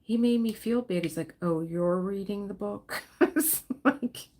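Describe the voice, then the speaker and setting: A woman hosting a relaxed livestream, chatting in a rambling way while she crafts and answers viewers. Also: mid-range voice, a little slow. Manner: rambling, chatty, laughs often, reads and answers viewer comments. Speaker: livestream host. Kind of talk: casual livestream chat while diamond painting.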